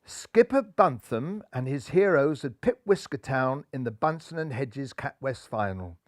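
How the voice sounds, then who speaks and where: An older man reading a children's picture book aloud in a lively way, seated indoors with a clip-on mic. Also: medium pitch, measured pace, no room sound, studio quality. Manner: lively, does voices for characters. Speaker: storybook reader. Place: indoor room full of cuddly toys.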